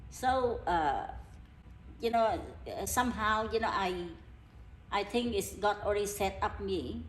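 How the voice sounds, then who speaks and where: A middle-aged woman from Vietnam with a Vietnamese accent, a healer talking earnestly in halting, broken English. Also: mid-range voice. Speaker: energy healer. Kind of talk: casual interview talk. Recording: echoey room with background noise.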